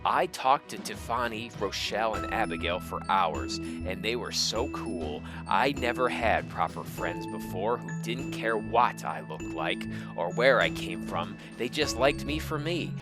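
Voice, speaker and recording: Lowish guy doing a mock serious dramatic fanfic narration, music in the background. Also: lively intonation, measured pace, few pauses. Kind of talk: comic dramatic reading of fan fiction. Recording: music behind the voice.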